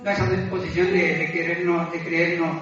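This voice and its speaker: Male preacher, high voice, halting and humble delivery of an informal sermon; distant, very reverberant recording. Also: level tone. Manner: halting, self-deprecating, repeats phrases. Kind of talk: informal sermon, exhorting and confessing. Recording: echoey room, distant mic, muffled, music behind.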